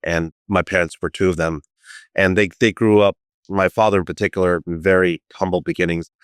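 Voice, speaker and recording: A man with a very low, smooth and resonant voice answers interview questions in a thoughtful, explanatory way. He sits close to a dry microphone with no room sound. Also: steady tone, a little fast, dry recording. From United States. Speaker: guest, firm co-founder.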